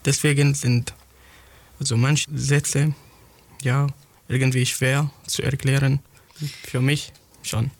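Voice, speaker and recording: Gravelly teenage boy in learner German. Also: medium pitch, flat delivery. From Syria. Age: teens. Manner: halting, searching for words, non-native grammar. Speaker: young student writer interviewed. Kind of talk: interview answers in learner German.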